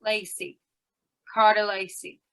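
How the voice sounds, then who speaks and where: A woman playing a tough, suspicious aging carnival woman in radio noir style, brassy and slangy with a sharp voice. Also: mid-range voice, slow pace, gravelly, clean tone. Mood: gruff, wary. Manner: brassy, clipped slangy talk. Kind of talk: 1940s radio noir acting.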